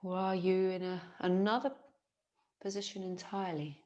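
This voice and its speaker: A woman, teaching yoga nidra as a guided relaxation in a soothing, gentle manner with long pauses. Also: on the low side, level tone, dull audio.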